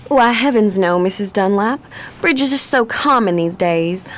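A woman plays a Southern belle in a radio play. She has a Southern American accent, a medium-pitched voice and a genteel, sociable chattiness. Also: normal speed, natural voice. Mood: prim, chatty.

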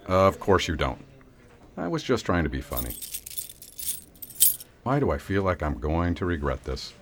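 A wry, kindly small-town sheriff, acted by a man in a radio drama. His lowish voice moves around freely in pitch as he tosses off sarcastic remarks. Faint ambience sits behind him. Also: big pitch swings, normal speed, a little hiss or hum. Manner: wry, sarcastic, mumbled asides. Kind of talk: audio drama acting.

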